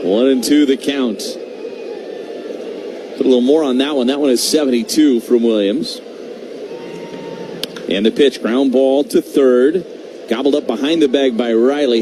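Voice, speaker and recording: A man doing live radio baseball play-by-play in a wry, conversational way. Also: mid-range voice. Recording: noisy stadium broadcast feed.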